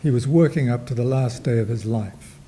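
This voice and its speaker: An older man with a New Zealand accent, moderating a gallery panel discussion in slow, reflective remarks with touches of dry humor. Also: medium pitch, mellow, slight background noise. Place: gallery room with a panel table.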